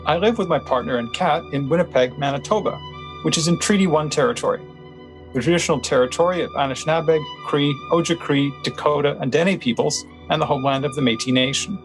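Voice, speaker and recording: A man's voice: a little high, a little fast, faint music behind. A man with a Canadian accent, hosting and interviewing on a podcast. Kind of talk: scripted podcast introduction and interview.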